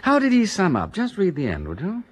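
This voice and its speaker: A breezy aristocratic English sleuth in a radio play. The voice is fairly high and husky, with animated swoops and slangy, foppish manners. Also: male voice, fairly quick, full character voice. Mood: breezy, concerned. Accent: upper-class English. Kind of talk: British radio drama acting.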